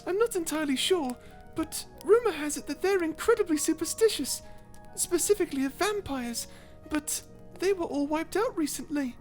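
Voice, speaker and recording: Tiny feisty mouse: high, swooping voice, excitable and proper, for audio drama. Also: female voice, moderate pace, soft background music. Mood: scolding, earnest. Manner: excitable, indignant, proper, stammering. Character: cute, feisty, earnest little mouse companion. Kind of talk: character audio roleplay.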